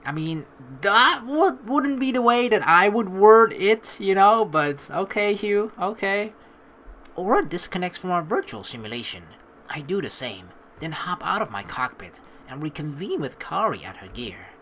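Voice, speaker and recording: A let's play host giving rambling, excitable commentary on a story game and reading its text aloud. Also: mid-range voice, moderate pace, a little hiss or hum. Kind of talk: game playthrough commentary with dramatic read-aloud. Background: game music.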